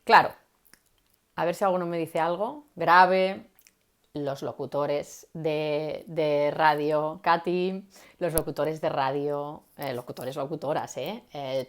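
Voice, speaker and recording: Lively woman coaching viewers. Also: deep voice. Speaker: communication coach. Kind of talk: live-stream teaching talk.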